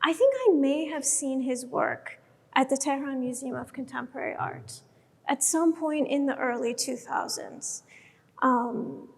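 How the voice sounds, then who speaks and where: A woman from Iran speaks on an art panel in a measured way, her voice set high. The sound rings out with long reverb in a gallery space. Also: a little mellow. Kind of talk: panel discussion.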